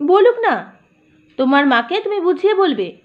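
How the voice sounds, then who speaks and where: A woman's voice: very high voice, very animated, moderate pace, highs cut off. A woman reading the lines of a joking young man in love in an audiobook story. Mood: teasing. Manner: joking, coaxing.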